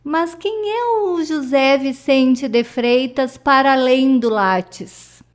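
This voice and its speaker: A woman with a Brazilian accent hosts a conversational radio interview. Her voice sits high, and she takes her time, speaking at a slow pace.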